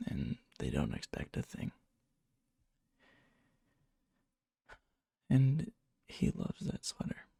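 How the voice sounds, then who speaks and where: A man playing a needy yandere young man confessing love to a friend in a hushed, halting ASMR roleplay, often slipping into a whisper, breathy and gravelly. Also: mid-range voice, dry recording, exaggerated voice. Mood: tender, wistful. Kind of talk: ASMR boyfriend roleplay, soft intimate storytelling. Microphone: close mic, dry.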